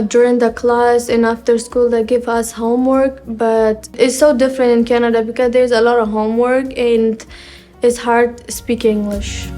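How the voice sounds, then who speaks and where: A teenage girl from Afghanistan, telling her school story in halting, simple learner English with many pauses. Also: a little high, warm tone. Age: teens. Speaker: student. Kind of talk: personal testimony.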